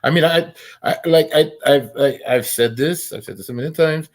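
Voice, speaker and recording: A man's voice: a little high, moderate pace. A man in a casual online group chat, teasing friends and stumbling over his words as he talks.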